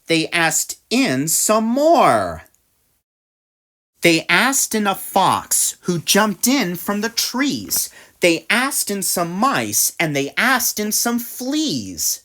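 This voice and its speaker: A woman reading a rhyming storybook aloud, with dramatic, deliberate phrasing. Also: mid-range voice, big pitch swings, a bit bright. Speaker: story reader. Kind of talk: expressive read-aloud storybook narration in rhyme.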